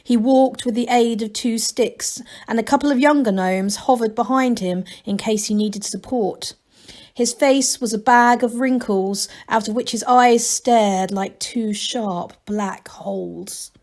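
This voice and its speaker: A woman storyteller reads from a book with expression. Her voice sits fairly high and she takes her time over the narration. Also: unhurried, a little mellow. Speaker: storyteller reading a novel aloud.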